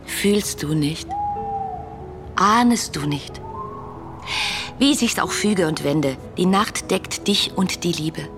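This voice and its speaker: A woman's voice: fairly low pitch, takes it slowly, pauses often, over music. An actress playing a passionate, dreamy young woman in a German radio play, reading her letters in a lyrical, intimate, fervent manner. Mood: tender, yearning.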